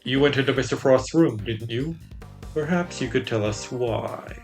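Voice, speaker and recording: A man's voice: mid-range voice, normal speed, darkish tone, faint music behind. A man streaming a video game, chatting casually and playfully with a co-player and cracking jokes. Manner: playful, jokey.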